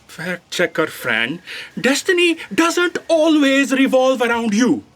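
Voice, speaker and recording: Woman playing a snide office aide, lively pitch. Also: slow, exaggerated voice. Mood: dry, teasing. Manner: dry, snide, dutiful. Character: dry, dutiful female office aide with a snide streak. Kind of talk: scripted comic audio drama.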